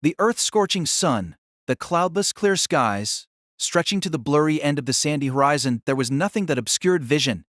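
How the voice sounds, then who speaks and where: Dramatic, animated male story narrator. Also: fairly high pitch, normal speed, dry recording, clean studio sound.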